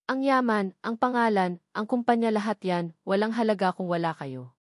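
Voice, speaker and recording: A woman's voice: medium pitch, moderate pace, no echo, studio quality, natural voice. A woman with a Filipino accent voicing a remorseful, devoted wealthy man in a romance story, speaking earnestly and pleadingly.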